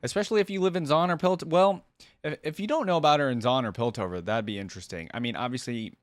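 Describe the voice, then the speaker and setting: A man's voice: medium pitch, fast, no echo, studio quality. A man hosting a reaction video, thinking aloud in casual, meandering commentary. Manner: musing, rambling, hedging.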